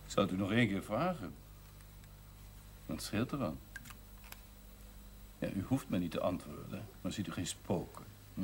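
Casting: man playing a gruff, lecturing village doctor, slightly low voice, hard northern G, old TV audio with faint hiss. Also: darkish tone, natural voice. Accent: Dutch from the north, with hard G. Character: blunt outspoken male village doctor, middle-aged. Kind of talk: 1970s TV drama acting. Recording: 1970s TV soundtrack with faint hiss.

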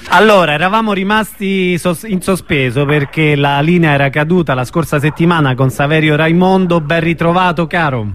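A male radio host with a fairly high voice puts questions informally. He is friendly and slightly hesitant, murmuring along as he listens. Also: moderate pace, few pauses, fairly bright tone.

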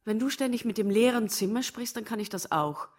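An actress in a radio drama playing a bitter, proud, long-suffering wife, reproachful and theatrical. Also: female voice, fairly high pitch, fairly quick, background noise, lightly acted. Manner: reproachful, theatrical, emotional monologues. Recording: studio radio play over music.

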